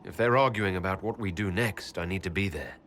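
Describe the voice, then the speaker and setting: A man's voice: low-pitched, a little fast, fairly bright tone, a little hiss or hum. An actor playing an earnest, doubting young male hero in a fantasy video game, direct and pragmatic in his questions. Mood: concerned. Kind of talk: dramatic video game dialogue.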